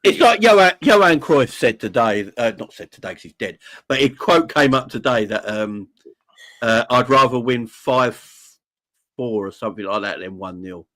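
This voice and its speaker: A man's voice: mid-range voice, lively intonation, moderate pace, fairly bright tone, dry recording. A man on a football podcast, laid-back and agreeable, often repeating himself as he talks. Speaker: podcast panelist. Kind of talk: casual football chat.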